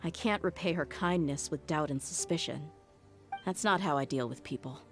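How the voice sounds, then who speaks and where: A woman's voice: sits low, moderate pace, soft background music. A woman playing a loyal, frank mercenary in a video game, with a firm and resolute manner. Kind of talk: video game character acting.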